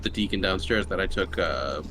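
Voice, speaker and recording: A man's voice: sits low, normal speed, fairly bright tone, a little hiss or hum. A man playing a tabletop game, chatting casually with slangy, sweary talk.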